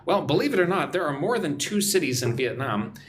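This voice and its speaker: A man's voice: fairly high pitch, sing-song, a little fast, a little hiss or hum. Chatty young American man teaching live. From Texas. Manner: chatty, teasing, answers viewers' comments. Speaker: English teacher and livestream host. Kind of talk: live-stream English lesson, reading chat. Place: home room in Vietnam.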